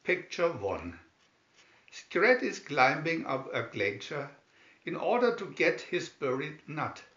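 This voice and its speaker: A man reading a children's picture-story booklet aloud in scripted narration, deliberately and with pauses. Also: a little high, very animated, fairly bright tone. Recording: dull lo-fi, roomy echo.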